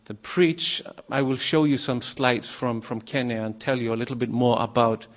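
A man from Iceland, a former missionary, giving a nervous, halting sermon to a congregation with many pauses and fillers. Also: mid-range voice, fairly even intonation. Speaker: guest preacher.

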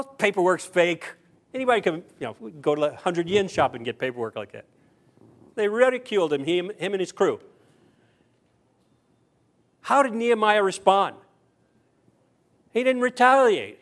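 A man preaching a conversational sermon, putting rhetorical questions to his listeners and repeating phrases for emphasis. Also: high voice. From the South (southern US). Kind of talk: sermon with scripture reading.

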